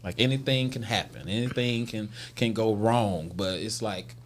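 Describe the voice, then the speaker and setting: A man's voice: on the low side, normal speed, slight background noise. An American man talking casually as an interview guest, enthusiastic and emphatic about his work.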